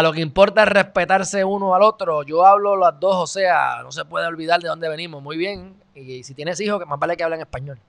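Puerto Rican man, bright and gravelly. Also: high-pitched. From Puerto Rico. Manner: animated, gestures widely, shifts loud and quiet. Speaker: host. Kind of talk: live stream chat, reading viewer comments. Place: small indoor studio. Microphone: studio mic on stand, close.

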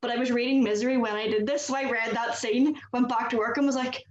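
A woman's voice: on the high side, fairly even intonation, quick pace, echoey room, dull audio. A young Northern Irish woman hosting a casual panel chat over a video call, chatty with lots of "like". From Northern Ireland. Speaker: host. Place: small room indoors.

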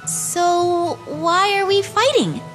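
A woman's voice: extremely high pitch, expressive, slow, soft background music, heavily acted. A woman voicing a naive, headstrong little fairy princess in a fantasy game, childlike and eager. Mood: puzzled, curious.

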